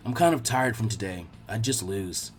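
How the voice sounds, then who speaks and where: A man's voice: on the low side, moderate pace, faint music behind, pitched below the performer's own voice, lightly acted. Man doing a bland, lazy teenage ninja boy. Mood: bored. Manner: bland, deadpan.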